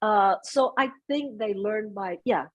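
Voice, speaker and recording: A soft-spoken middle-aged woman speaking as a guest in an interview, chatting about family, sometimes slipping into Vietnamese phrases. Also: mid-range voice, a little slow, muffled recording. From United States. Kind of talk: conversational interview.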